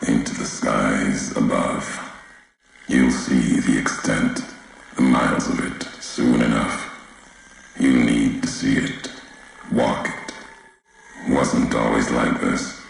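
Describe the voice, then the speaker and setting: Brooding male game wanderer, grim and cryptic, very heavy vocal fry, unhurried clipped delivery. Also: rumbling low, heavy reverb, slight background noise, exaggerated voice, poor audio. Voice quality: gravelly. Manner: cryptic, deliberate, clipped fragmentary phrases. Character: grim, brooding wasteland wanderer, solemn and judging. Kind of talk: video game character dialogue.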